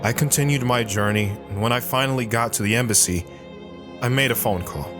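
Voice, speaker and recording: A man playing a guarded, stoic wandering veteran in an audio drama, telling his story in a somber, measured way with short, plain sentences. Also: medium pitch, lightly acted. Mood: weary, grave. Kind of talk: dramatic first-person audio drama narration. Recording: music behind the voice.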